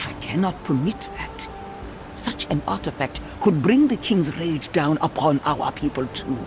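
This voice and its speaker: A man's voice: fairly high pitch, animated, measured pace. A man playing a stern, cautious temple elder in a video game, speaking formally and with foreboding. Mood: stern, refusing. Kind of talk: dramatic video game acting. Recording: clear music behind the voice.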